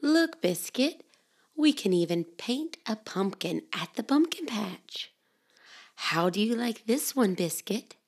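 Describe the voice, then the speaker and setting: A woman hosting and reading children's storybooks aloud in an expressive, simple way for young children. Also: on the high side, slow, breathy edge. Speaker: children's storybook podcast host and reader.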